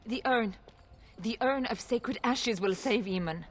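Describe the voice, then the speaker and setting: A woman's voice: a little high, unhurried, natural voice. An actress playing an anxious, proud noblewoman in a fantasy video game, speaking formally and earnestly. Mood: earnest, worried. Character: anxious, proud noblewoman, wife of stricken lord.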